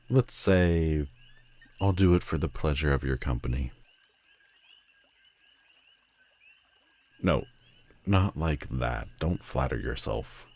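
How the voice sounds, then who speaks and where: An extremely deep-voiced man acting as a telepathic villain in an audio roleplay. He is proud and sardonic, with a haughty, theatrical delivery that leans hard on his words. A lonely streak sits under the arrogance. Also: darkish tone, full character voice. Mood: grudging, aloof. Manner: haughty, dramatic, drawn-out emphatic phrasing.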